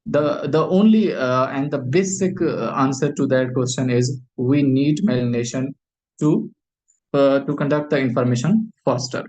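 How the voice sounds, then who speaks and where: A Pakistani man, a native Urdu speaker, giving a medical lecture in English, pausing often on fillers, repeating phrases and posing questions he then answers. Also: mid-range voice, a little mellow, rough recording.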